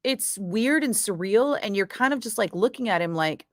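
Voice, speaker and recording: A middle-aged woman radio DJ chatting casually with viewers between breaks, profane and quick to laugh. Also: mid-range voice, lively intonation, dry recording, studio quality. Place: radio studio.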